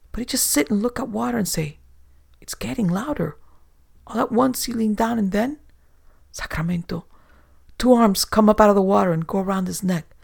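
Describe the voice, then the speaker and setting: A man's voice: high voice, vocal fry, darkish tone. A man voicing a terrified swarthy male sailor who stammers in broken English. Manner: panicked, stammering, whimpering.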